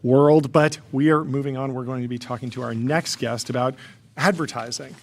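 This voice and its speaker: A man hosting a talk show, quick with chummy interjections and jokes. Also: medium pitch, fairly even intonation. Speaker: host. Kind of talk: casual chat.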